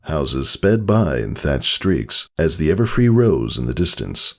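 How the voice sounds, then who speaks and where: A man's voice: rumbling low, sing-song, measured pace. A man reading a scripted story aloud as its narrator. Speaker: fan fiction reader, narrator.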